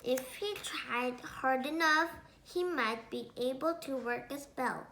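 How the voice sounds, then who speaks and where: A young female reader narrating a children's story aloud in a halting, careful way. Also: high-pitched, sharp tone, a little hiss or hum, rough recording. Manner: halting, word-by-word reading with self-corrections.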